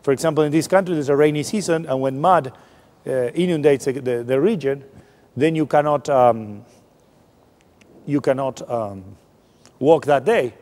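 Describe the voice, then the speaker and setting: A man's voice: medium pitch, normal speed, long pauses, a little mellow. Man lecturing in a reverberant hall. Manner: explanatory, informal, frequent restarts. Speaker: scientist giving a public lecture. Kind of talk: public science lecture.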